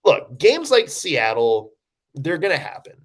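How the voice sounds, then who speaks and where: A man's voice: fairly high pitch, wildly swooping pitch, unhurried. A man co-hosting a sports podcast, rambling through his analysis with many restarts. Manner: rambling, lots of fillers and restarts. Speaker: podcast co-host. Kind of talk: casual sports analysis chat.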